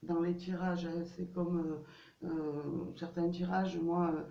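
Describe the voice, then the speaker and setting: A woman's voice: lowish voice, little pitch movement, takes it slowly, creaky, warm tone, slight background noise. A woman with a cold giving a casual tarot card reading, hesitant and full of fillers and restarts. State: has a cold. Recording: distant, dull, roomy home recording.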